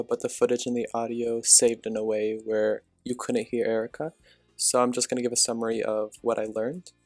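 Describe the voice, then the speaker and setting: A young man, a student, reading the narration for a class project in an even, read-aloud way. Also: medium pitch, no echo.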